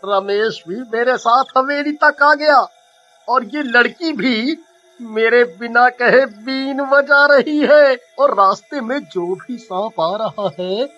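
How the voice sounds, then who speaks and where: High, shrill, greedy cartoon schemer. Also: male voice, takes it slowly, sharp tone, muffled recording, faint music behind, exaggerated voice. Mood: gleeful, greedy. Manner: exaggerated, theatrical, stammering when scared. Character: greedy, scheming cowardly male treasure hunter. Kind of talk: Hindi cartoon dialogue.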